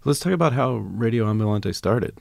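A man's voice: on the low side, expressive, fairly quick, creaky, dry recording. A man hosting an interview podcast, asking curious, rambling questions with restarts. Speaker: podcast host. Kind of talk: casual interview.

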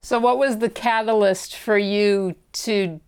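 A woman's voice: mid-range voice, steady tone, slow pace, darkish tone, smooth. A woman interviewing a guest, asking direct, brief questions.

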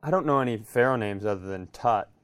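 A man's voice: fairly low pitch, fairly quick, fairly bright tone, clean tone, no room sound. A man co-hosting a comedy podcast, telling stories casually and riffing in a conversational way. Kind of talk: casual comic storytelling.

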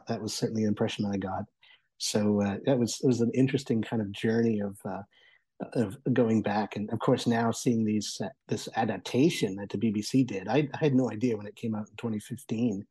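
A man in his forties in a conversational radio discussion, speaking in a halting, thoughtful way as a contributor. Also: fairly low pitch, mellow, breathy edge, no room sound, low-quality recording. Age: 40s. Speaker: audio entertainment and accessible technology contributor.

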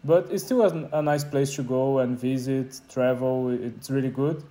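A man's voice: mid-range voice, fairly even intonation, normal speed, dark tone. A man in his twenties from Brazil with a Brazilian accent, casually telling his personal story as an interviewee. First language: Portuguese. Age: 20s. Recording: clear guitar music behind the voice. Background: guitar music.

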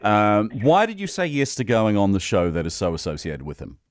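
A man's voice: fairly low pitch, wildly swooping pitch, a little fast, dry recording, clean studio sound. A male host, giving opinionated current-affairs talk in a wry, outspoken way. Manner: outspoken, wry, hesitant fillers. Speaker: radio show host. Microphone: studio microphone.

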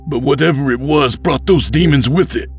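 A man's voice: mid-range voice, normal speed, husky, faint music behind, pitched above the performer's own voice. A man voicing a bold young Southern farm man who asks pointed, challenging questions. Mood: defiant.

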